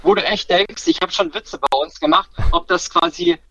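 A man's voice: high voice, fairly even intonation, normal speed, pushed and bright, poor audio. A man chatting casually as a guest on a football podcast, rambling with self-corrections and fillers. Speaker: guest fan commentator.

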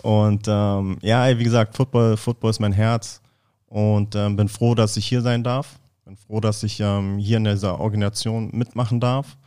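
A man in his thirties from Berlin, speaking as a guest on a sports podcast. His voice sits a little low. He talks in an easygoing way, often pausing on fillers and restarting his sentences. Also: clean tone. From Berlin, Germany. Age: 30s. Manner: relaxed, many fillers and restarts. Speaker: podcast guest, football player. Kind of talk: casual interview chat.

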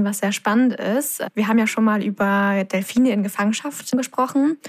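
Medium-pitched female podcast co-host. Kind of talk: conversational podcast presenting.

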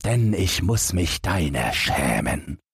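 A man's voice: sits low, very animated, slow pace, vocal fry, breathy edge, no echo, clean studio sound, heavily acted. A man voicing a stern, exasperated father in a storybook reading, scolding and weary in manner. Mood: resigned, ashamed. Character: stern, exasperated father ashamed of his son.

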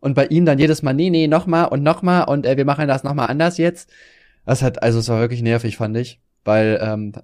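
A man's voice: mid-range voice, a little mellow. A man co-hosting a podcast in casual chat, slangy and laughing as he tells stories. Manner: quick, slangy, laughs while telling stories. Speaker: podcast co-host.

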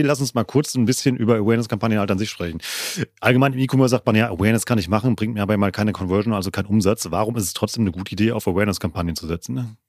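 Podcast guy, talks really fast, dry studio sound, host style. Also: lowish voice, a little mellow, no room sound. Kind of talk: podcast interview with scripted intro and ad read.

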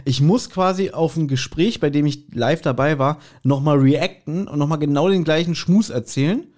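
Male podcast host, fairly high voice, joking and rambling in relaxed conversation. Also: normal speed. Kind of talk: casual chat.

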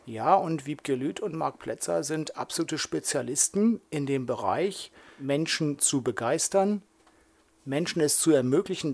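A man's voice: on the high side, vocal fry, slightly rough. A middle-aged man from northern Germany co-hosting a podcast, chatty and enthusiastic as he thinks aloud. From Lower Saxony, Germany. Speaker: podcast co-host. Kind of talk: casual podcast chat.

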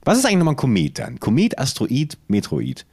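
A man's voice: medium pitch, very animated, fast, gravelly, a little mellow, slightly rough, dry recording, clean studio sound. A man co-hosting a podcast in casual chat, with a probing, questioning way of talking. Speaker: podcast co-host.

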